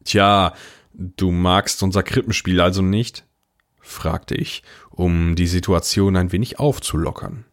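Audio drama casting: man as deep-voiced older brother, first-person narrator with dry, understated wit. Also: measured pace. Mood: unflappable. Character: wry, unflappable older brother narrating his story.

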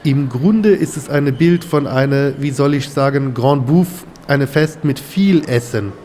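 A male actor plays a French tour guide in an audio drama. He has a French accent and a courteous, enthusiastic manner, pausing to search for words. His mid-pitched voice rings in a large hall over faint ambience. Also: a little mellow, a little hiss or hum, natural voice. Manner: theatrical, deliberate, searching for words.

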